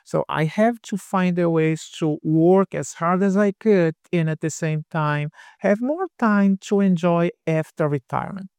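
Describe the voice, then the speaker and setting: A man's voice: high voice, a little slow, hardly any pauses, a little mellow, smooth, no room sound. A man in his fifties from Brazil with a Brazilian Portuguese accent, co-hosting a casual podcast chat in an earnest, explanatory way. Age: 50s. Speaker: podcast co-host.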